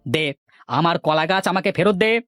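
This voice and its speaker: A man's voice: sits high, normal speed, a bit bright, faint music behind. A man voicing a greedy, hot-tempered older village orchard owner in a cartoon, blustering and indignant. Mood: angry, accusing. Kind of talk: children's moral cartoon acting.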